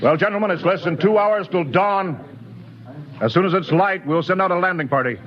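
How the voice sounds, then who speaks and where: An actor playing a calm, commanding male rocket ship captain in a 1950s radio drama, giving steady orders. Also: high-pitched, brisk, natural voice. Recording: dull lo-fi 1950s radio recording.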